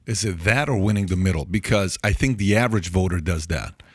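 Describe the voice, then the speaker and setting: A man's voice: deep voice, fairly quick, no echo, studio quality. A man hosting a podcast, talking conversationally and repeating his points for emphasis. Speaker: podcast host. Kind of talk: podcast discussion.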